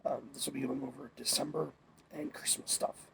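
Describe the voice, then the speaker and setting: A man's voice: medium pitch, little pitch movement, moderate pace, gravelly, background noise. A young man talking casually, a little congested while he gets over a cold, rambling with fillers and restarts. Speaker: vlogger. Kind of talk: casual chat.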